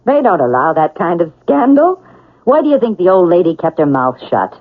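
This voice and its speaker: A woman's voice: lowish voice, sing-song, moderate pace. An actress in a radio drama playing a cold, scheming, sardonic woman with a theatrical, calculating manner. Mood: mocking, bitter. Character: cold scheming housekeeper's daughter plotting murder, sardonic woman. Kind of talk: 1970s radio drama acting. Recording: muffled, lo-fi old radio broadcast.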